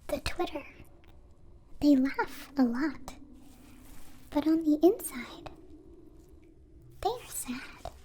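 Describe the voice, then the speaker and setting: Woman playing naive curious alien, ASMR roleplay, soft breathy whisper, high pitch, slow halting formal speech. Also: slight background noise. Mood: curious, earnest. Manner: halting, formal, literal phrasing, softly spoken. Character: gentle, curious, naive captive-born alien, newly befriended.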